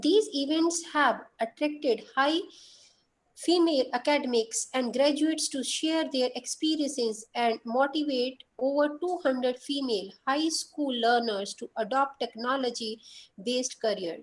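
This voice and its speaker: A woman's voice: high voice, unhurried, low-quality recording. A woman hosting an online workshop over a video call, reading a formal introduction of the speaker steadily, with small slips. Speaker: workshop host.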